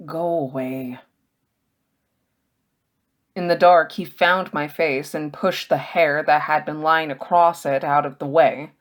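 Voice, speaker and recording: Slow, low-voiced woman reading fiction. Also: fairly even intonation. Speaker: audiobook reader. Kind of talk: scripted fiction narration, reading a novel aloud.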